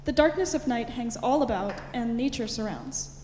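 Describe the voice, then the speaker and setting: A woman's voice: on the high side, lively intonation, normal speed, a little mellow. A woman reading scripted stage directions as narrator at a staged reading. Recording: echoey hall, faint background noise.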